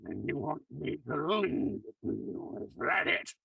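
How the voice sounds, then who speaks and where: An actor playing a spiteful, gloating old miserly uncle with a gravelly, raspy voice, in 1940s horror radio style. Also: male voice, on the high side, big pitch swings, bright, forceful tone, dry recording, distant mic, exaggerated voice, rough recording. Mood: suspicious. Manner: menacing, cackling, drawn-out delivery.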